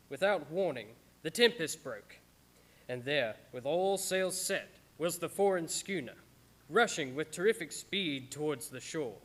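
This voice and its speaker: A man playing a solemn narrator in a radio play, measured, suspenseful and ominous. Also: on the high side, a bit bright. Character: solemn narrator reporting an eerie shipwreck. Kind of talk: dramatic scripted radio narration.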